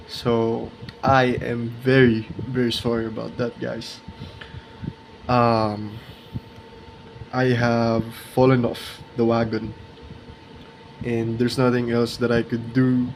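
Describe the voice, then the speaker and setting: Young man, age twenty, slow halting delivery, flat intonation, casual reflective vlog, faint room hum. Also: medium pitch, poor audio. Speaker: art video creator.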